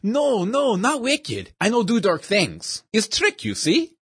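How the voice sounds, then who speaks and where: A man's voice: high voice, wildly swooping pitch, slow pace, fairly bright tone, breathy edge, no echo, studio quality, pitched above the performer's own voice, heavily acted. A man voicing a cheerful old magic shop owner who speaks broken English like a chatty showman.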